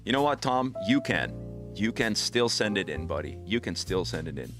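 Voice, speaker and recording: Man, from the netherlands, stream host, casual chat, lowish voice, loud music in the background. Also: big pitch swings, normal speed. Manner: chatty, answers viewers' questions directly. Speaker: music production teacher hosting a demo stream. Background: electronic music playing.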